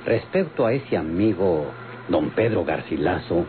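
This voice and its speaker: An actor playing a wry, rustic bandit in an old radio drama, with rural Mexican accent and folksy broken grammar. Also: male voice, deep voice, big pitch swings, measured pace. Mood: matter-of-fact. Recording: noisy, tinny old radio recording.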